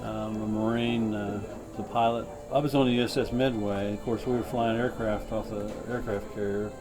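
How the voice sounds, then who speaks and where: A man's voice: fairly low pitch, little pitch movement, moderate pace. An older man, a veteran, recalling his service in a plain, easygoing way during a documentary interview. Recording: music bed under the voice.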